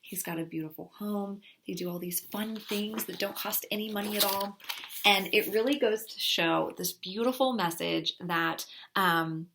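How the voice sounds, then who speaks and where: Woman recommending books over clear background noise. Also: mid-range voice, moderate pace. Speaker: children's book reviewer. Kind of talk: casual book recommendation, showing pages. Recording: clearly noisy recording with clicks.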